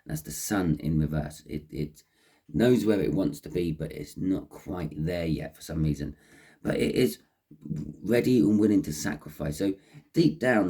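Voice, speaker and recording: A man with a croaky voice and a head cold, giving an unscripted tarot reading in a halting, repetitive way. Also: low voice, warm tone. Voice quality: croaky, husky. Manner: halting, repeating phrases as he explains. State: has a cold with a runny nose. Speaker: tarot reader and host.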